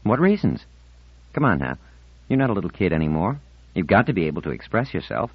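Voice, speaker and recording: A man's voice: low voice, big pitch swings, fairly quick, breathy edge, no echo, muffled recording, exaggerated voice. A man voicing a cheerful, teasing teenage boy in an audiobook, breezy and playfully reasoning.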